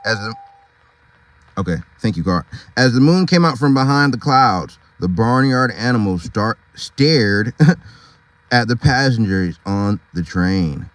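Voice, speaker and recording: Casual man reading a story, very animated. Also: on the low side, measured pace, no echo. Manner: informal, slangy, chatty. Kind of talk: reading a picture book aloud with casual asides.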